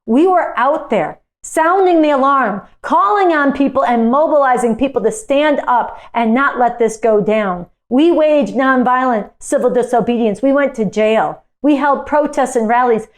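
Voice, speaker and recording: A woman's voice: high voice, normal speed, hardly any pauses. A woman activist giving an impassioned political speech, emphatic and indignant, driving points home with repetition. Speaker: activist speaker.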